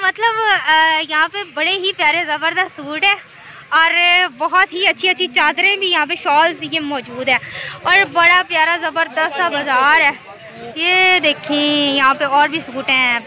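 A woman's voice: sits high, normal speed, pushed and bright. A young woman with a Pakistani accent, chatting effusively as she hosts a casual vlog from a busy bazaar, recorded on a phone at arm's length. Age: young adult. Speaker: vlogger, host. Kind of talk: casual chatty market tour. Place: busy outdoor covered bazaar. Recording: busy street crowd and traffic noise.

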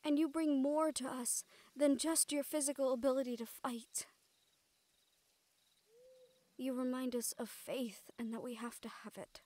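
A woman's voice: high voice, steady tone, moderate pace, mellow, no room sound, natural voice. A woman acting a caring, encouraging siren-like mentor in an intimate audio roleplay, with a tender, earnest manner. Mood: tender, reassuring.

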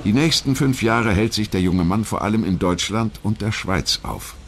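A man with a low-pitched voice narrates like a documentary audiobook. His delivery is measured and articulate, following a prepared script. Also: slight background noise.

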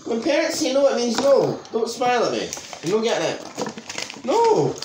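A man's voice: very high-pitched, wildly swooping pitch, moderate pace, recorded from a distance. A man minding the children at home, giving short, firm refusals off camera. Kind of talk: casual family talk. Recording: echoey kitchen, household noise.

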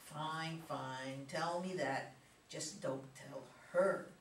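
A woman's voice: deep voice, extremely slow, full character voice. A woman playing an affectionate, protective suburban American mother, young middle-aged, in a stage play reading. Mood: insistent. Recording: roomy, distant, some noise.

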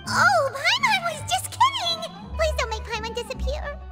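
A woman voicing a perky, excitable little childlike fairy companion in a video game, speaking in an exclaiming way. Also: very high-pitched, normal speed. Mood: panicked. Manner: speaks of herself in third person, exclaiming. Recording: music behind.

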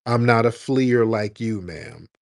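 A man's voice: lowish voice, slow pace, vocal fry. A man hosting a call-in commentary show, combative and opinionated, firing rhetorical questions and acting out quoted voices. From United States. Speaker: livestream show host.

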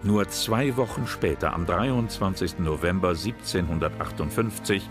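A man giving calm, measured scripted narration for a history documentary. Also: low-pitched. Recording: voice-over with music bed.

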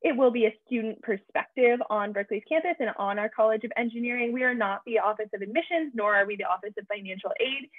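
Young woman hosting online, upbeat, kinda high voice, tinny phone-like sound, dry. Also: quick pace, hardly any pauses, no room sound. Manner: upbeat and emphatic. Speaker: tour host. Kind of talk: scripted event introduction. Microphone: video call. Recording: tinny phone-like video call audio.

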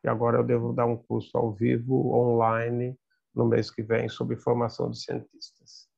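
Gravelly older man on video call. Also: medium pitch, completely flat delivery, moderate pace, darkish tone. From Brazil. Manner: emphatic, conversational, gesturing with hands. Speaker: guest lecturer. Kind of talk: seminar talk on video call. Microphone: webcam on video call. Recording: dull lo-fi video call audio.

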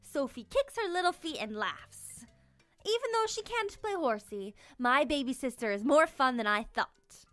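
Young female children's storyteller, high voice, expressive and playful delivery, light music underneath. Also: normal speed, no room sound. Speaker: storyteller and children's channel host. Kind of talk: animated children's book read-aloud. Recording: music behind the voice.

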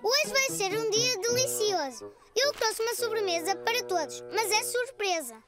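A woman voicing a cheerful, curious four-year-old cartoon boy in a European Portuguese dub, with a very high childlike voice. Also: sing-song, moderate pace. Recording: children's music playing behind.

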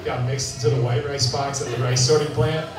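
A young man doing a stand-up set on a bar stage, with a dry, deadpan delivery, filmed on a handheld mic from out in the crowd. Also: medium pitch, brisk. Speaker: stand-up comedian. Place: bar stage with a live audience. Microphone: handheld mic, filmed from far in the crowd. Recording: echoey bar sound from far off, crowd laughter.

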